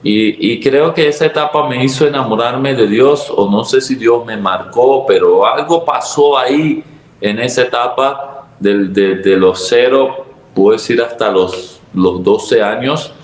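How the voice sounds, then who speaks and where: A man's voice: mid-range voice, a little slow, reverberant, low-quality recording. A man in his thirties from Venezuela with a Venezuelan Spanish accent, a pastor telling his testimony in an earnest, reflective way. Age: 30s. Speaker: pastor, guest. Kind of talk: testimony interview.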